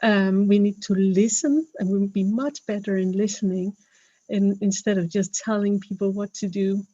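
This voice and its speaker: A woman's voice: medium pitch, steady tone, normal speed, dark tone, no room sound, highs cut off. A woman from Denmark with a Danish accent, speaking English as a second language in a webinar talk, earnest and hesitant, with many fillers and restarts. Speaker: webinar speaker, health professional.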